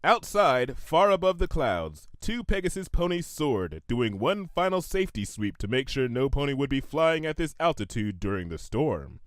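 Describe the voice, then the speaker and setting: A man reading a comic story aloud in an expressive, scripted narration style. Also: mid-range voice, moderate pace, few pauses. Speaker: narrator, fan fiction reader.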